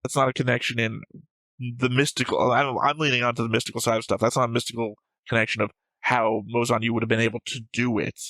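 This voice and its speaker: A man with a gravelly edge to his voice talks through his reasoning in a casual, analytical chat. He argues his points and explains them in a fairly level delivery. The sound is close and dry. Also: mid-range voice, flat delivery, quick pace, bright, forceful tone, no echo, low-quality recording. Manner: argumentative, explanatory. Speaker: host of an anime reaction show.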